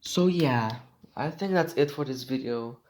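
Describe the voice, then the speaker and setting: A man's voice: mid-range voice, a little slow, a little mellow. Rambling teenage boy. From Romania. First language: Romanian. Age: teens. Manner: rambling, joking, many restarts and slang. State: sick. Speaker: vlogger. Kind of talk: casual rambling monologue.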